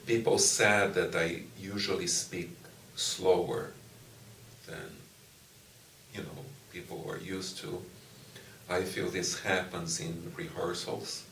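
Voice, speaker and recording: A middle-aged man from Brazil whose first language is Portuguese, a university professor answering interview questions in a slow, thoughtful way with frequent pauses and restarts. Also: low voice, level tone, a bit bright, a little hiss or hum. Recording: echoey room, distant mic, faint ambience.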